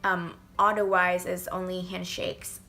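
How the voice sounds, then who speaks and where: A young woman from Vietnam, answering interview questions in halting speech, searching for words. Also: on the low side, level tone, pushed and bright. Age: young adult. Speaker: student interviewee.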